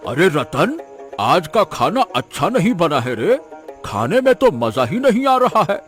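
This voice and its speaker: A man's voice: on the high side, very animated, a bit bright, faint music behind. A man voicing a proud, easily offended old village customer in a Hindi cartoon, talking like a scolding elder. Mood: complaining. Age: older. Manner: slow, indignant, scolding elder. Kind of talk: Hindi cartoon story dialogue.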